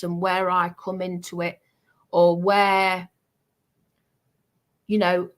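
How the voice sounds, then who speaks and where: A woman's voice: medium pitch, fairly bright tone. A British woman streamer talking casually and unscripted, in a defensive, insistent manner. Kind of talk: casual unscripted livestream talk.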